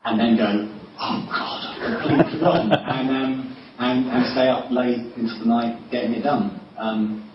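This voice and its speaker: A man's voice: medium pitch, steady tone, normal speed, hardly any pauses. A man telling casual, self-deprecating anecdotes in a panel interview, rambling with false starts and chuckles. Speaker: interviewed writer. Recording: echoey room, distant mic, muffled, noisy.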